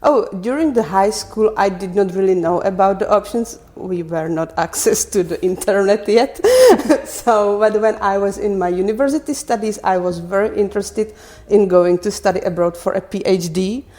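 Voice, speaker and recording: Woman, mid-pitched voice. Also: lively intonation, normal speed, hardly any pauses, dark tone. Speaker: podcast guest, landscape design teacher. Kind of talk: casual interview answers.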